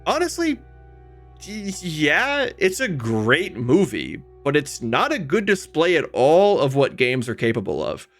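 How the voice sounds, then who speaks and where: A man's voice: on the high side, wildly swooping pitch, measured pace, soft background music. A man delivering a scripted video essay about games, with emphatic, opinionated, conversational phrasing and casual asides.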